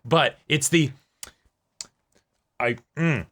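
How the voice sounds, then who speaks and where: A man's voice: on the high side, very slow, fairly bright tone. A man co-hosting a reaction show, chatting casually and agreeably with frequent interjections and restarts. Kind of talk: casual chat discussing a TV episode.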